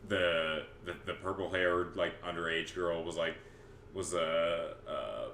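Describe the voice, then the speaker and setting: A man in his twenties bantering casually as a podcast host, excitable, sweary and often stammering over repeated words. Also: low-pitched, takes it slowly. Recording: echoey room, clear background noise.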